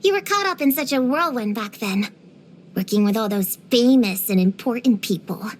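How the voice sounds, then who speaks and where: A woman's voice: a little high, lively intonation, moderate pace, slight background noise, natural voice. A woman voicing an earnest, bookish young girl witch hunter in a video game, precocious, proud and slightly dramatic. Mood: sincere, awkward. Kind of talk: scripted game voice lines.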